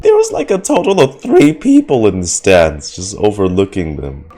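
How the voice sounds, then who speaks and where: Low-voiced sweary man reacting to a game. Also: huge pitch swings, moderate pace, dark tone. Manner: sweary, incredulous. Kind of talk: casual gaming reaction commentary. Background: game music.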